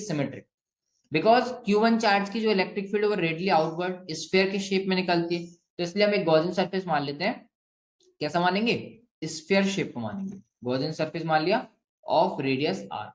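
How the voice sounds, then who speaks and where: A man with an Indian accent teaching step by step as a physics teacher, asking and answering his own questions and slowly dictating what to write. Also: sits high. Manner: asks and answers own questions, frequent check-ins; slowly dictates written sentences. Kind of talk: step-by-step classroom lesson mixing Hindi and English. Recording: echoey room.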